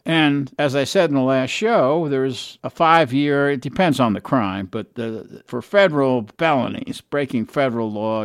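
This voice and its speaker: A man's voice: medium pitch, no echo, clean studio sound. A man hosting a talk show, giving solo political commentary with a strained, worn-out voice and repeating phrases for emphasis. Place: college radio studio.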